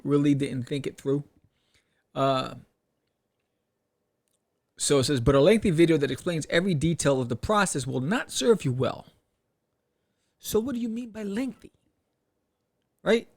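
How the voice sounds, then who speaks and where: A man hosting a podcast in a casual solo talk, rambling in an animated way and often throwing out rhetorical questions. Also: medium pitch. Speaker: podcast host. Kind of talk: casual solo talk to camera or mic about crowdfunding.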